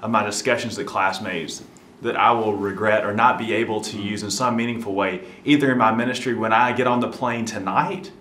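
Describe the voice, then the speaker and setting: A pastor from Alabama giving an earnest, emphatic recommendation, standing in a church atrium. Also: male voice, on the low side, steady tone, brisk, a bit bright, roomy sound. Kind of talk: earnest testimonial.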